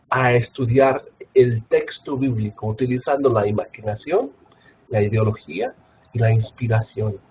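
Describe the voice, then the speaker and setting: A man's voice: mid-range voice, highs cut off, slight background noise. Earnest Mexican man lecturing on scripture. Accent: Mexican Spanish, Guadalajara. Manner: earnest, many filler "ah" and tag questions. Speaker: Bible institute professor. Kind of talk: recorded classroom lecture.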